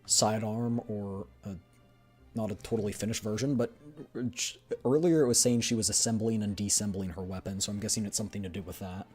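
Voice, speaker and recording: A man with a low-pitched voice streams a game readthrough. He moves between narrating and casual commentary, with faint music playing behind him. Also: a little mellow. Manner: casual, thinking aloud.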